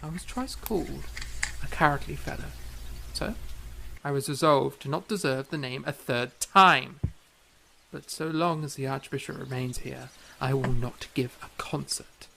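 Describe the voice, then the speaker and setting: A man's voice: mid-range voice, a little slow, vocal fry, sharp tone, a little hiss or hum, low-quality recording. A man playing a proud, fiery young eighteenth-century composer in a period audio drama, speaking formally and emphatically with theatrical flair. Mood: earnest, proud.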